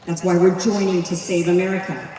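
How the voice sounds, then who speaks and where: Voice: mid-range voice, little pitch movement, a little fast, mellow. A speaker giving a rousing public speech at a community event, asking many rhetorical questions. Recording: echoey hall, voice from far off.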